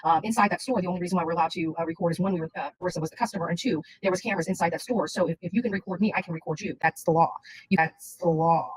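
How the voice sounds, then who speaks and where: A woman's voice: fairly low pitch, very fast, husky, no room sound, rough recording. A woman chatting casually on a livestream in a blunt, rambling, insistent way.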